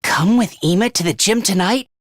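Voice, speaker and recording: A man's voice: high-pitched, normal speed, fairly bright tone, slight background noise. A man voicing a timid, anxious, self-loathing young male student in an anime video game, with a thin voice and a stammering, whiny delivery. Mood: hushed, earnest.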